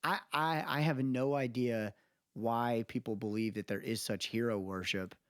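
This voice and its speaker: A man's voice: medium pitch, fairly even intonation, normal speed, clear, resonant tone, no echo. Man, podcast co-host, mid-range voice, conversational and emphatic, wandering delivery, very dry sound. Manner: rambling, emphatic, often checks with listener "you know what I mean". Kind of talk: casual opinionated podcast chat. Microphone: close mic on remote podcast call.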